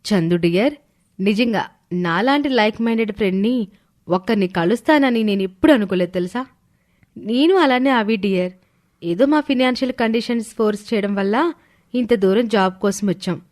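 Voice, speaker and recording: A woman's voice: medium pitch, expressive, normal speed, darkish tone, lightly acted. A woman voicing a young, earnest working woman in a moral story cartoon. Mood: friendly, earnest. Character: young working wife and her chummy office friend. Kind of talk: animated moral story dialogue.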